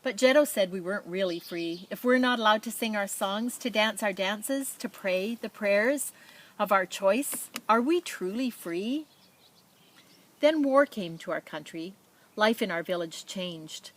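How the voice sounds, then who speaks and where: A woman reading a children's picture book aloud in a measured, expressive storytelling manner, with spoken asides. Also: medium pitch. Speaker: storybook reader.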